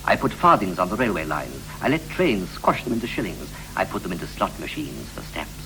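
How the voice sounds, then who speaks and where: An actor playing a wistful British husband recalling his boyhood in clipped, poetic verse with deliberate pauses, in the style of a 1960s radio play. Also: low voice, very animated. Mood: boyish reminiscence. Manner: incantatory short phrases, deliberate pauses. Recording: vintage 1960s radio recording with hum and hiss.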